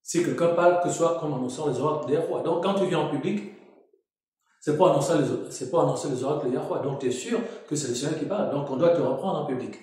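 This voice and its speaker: A man's voice: fairly high pitch, fast, a little mellow. Cameroon-born preacher guy, fired up and arguing, lots of echo. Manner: indignant, argumentative, emphatic. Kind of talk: sermon rebuttal. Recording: strong echo.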